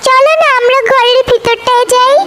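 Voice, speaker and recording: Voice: very high voice, level tone, moderate pace. A performer voicing an earnest, easily hurt young village schoolgirl in a cartoon story. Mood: eager. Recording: music behind.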